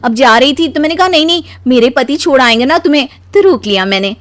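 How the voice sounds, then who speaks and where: A woman voicing a demanding, nagging young wife in an animated story, with a pushy, complaining manner. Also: sits high, quick pace. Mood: casual, persuasive.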